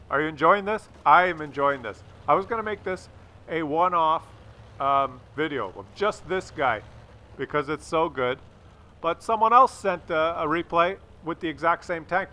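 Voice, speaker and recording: A man's voice: fairly high pitch, pushed and bright. A man hosting a gaming video with casual, wry and sarcastic replay commentary. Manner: wry, sarcastic, teasing, crude joking. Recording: faint game noise behind voice.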